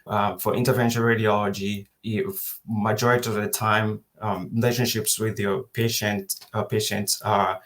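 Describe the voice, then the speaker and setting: A man in his thirties from Kenya, giving an unhurried webinar lecture over a video call and pausing often to think aloud. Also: on the low side, level tone, fairly bright tone. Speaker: guest presenter.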